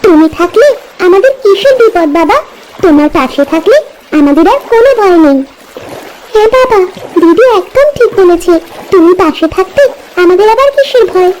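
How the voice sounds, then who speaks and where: A woman voicing a sweet, trusting little village girl in an animated moral story, childlike, eager and pleading. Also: extremely high pitch, normal speed, heavy background noise. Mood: eager, affectionate. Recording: music behind the voice.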